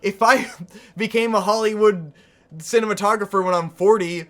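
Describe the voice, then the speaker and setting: A man in his twenties chatting casually as co-host, animated and laughing easily, swearing for emphasis. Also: sits high, moderate pace. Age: 20s. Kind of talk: casual chat.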